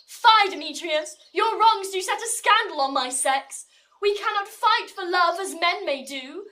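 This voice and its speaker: Shakespearean heroine, female actor: lovesick, stubborn young woman, impassioned, piercingly high and bright. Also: animated, a little slow, heavily acted. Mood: indignant. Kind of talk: classical Shakespearean verse acting.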